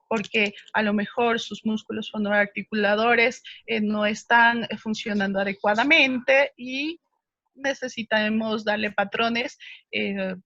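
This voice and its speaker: Woman speech therapist, explanatory, hesitant fillers, higher voice, dry dull lo-fi sound. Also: a bit bright, no echo. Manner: explanatory, with frequent hesitations and "¿no?" tags. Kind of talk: answering viewer questions in a live talk.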